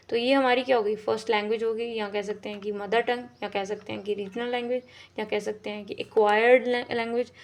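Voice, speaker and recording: Slightly high-voiced woman teaching a lesson. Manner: repeats "ठीक है" and rephrases often, with fillers. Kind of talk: exam-prep lesson explaining in Hindi mixed with English.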